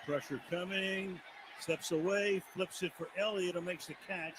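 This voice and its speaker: Man, play-by-play announcer, slightly high voice, measured broadcast delivery. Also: steady tone, noisy background. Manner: measured, rising at big plays. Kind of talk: football play-by-play commentary.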